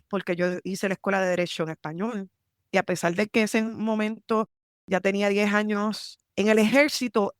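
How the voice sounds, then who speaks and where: A woman from Puerto Rico with a Puerto Rican accent, telling her story in a lively interview and mixing English terms into her Spanish. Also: medium pitch, no room sound, clean studio sound. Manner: animated, emphatic, mixes English terms into Spanish. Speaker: army lawyer, guest.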